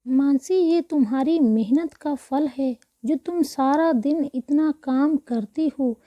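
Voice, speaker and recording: A woman playing gentle, earnest village women in a children's moral story cartoon. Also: sits high, slow pace, no echo, muffled recording. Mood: kindly. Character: humble, kindly village women, maid and mistress.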